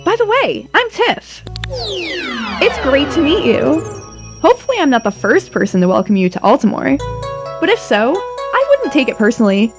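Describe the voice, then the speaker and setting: A woman narrating a scripted audio drama in a chatty, wry way. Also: high-pitched.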